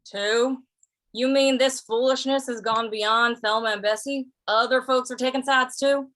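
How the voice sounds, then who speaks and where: Woman as easygoing cowboy, highish voice, folksy, mild, hesitant, old-time radio western. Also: steady tone, unhurried, a bit bright. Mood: surprised. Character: amiable, curious cowboy narrator, a man.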